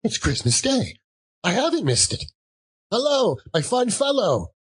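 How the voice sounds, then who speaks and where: A man playing a giddy, reformed old gentleman in an audiobook, exclamatory and effusive, given to chuckling. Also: sits high, wildly swooping pitch, unhurried, breathy edge, pitched above the performer's own voice, full character voice. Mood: giddy delight.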